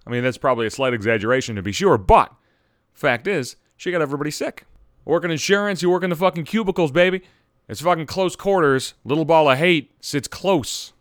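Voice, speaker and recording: A man hosting his own podcast, ranting loudly with heavy swearing after just getting over a cold. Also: a little high, dry recording, studio quality. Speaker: podcast host. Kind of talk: profane comedic rant.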